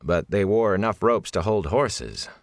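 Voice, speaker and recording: A man's voice: sits low, big pitch swings, a little slow, dry recording. A man reading a fantasy novel as a scripted audiobook narration, close on the microphone.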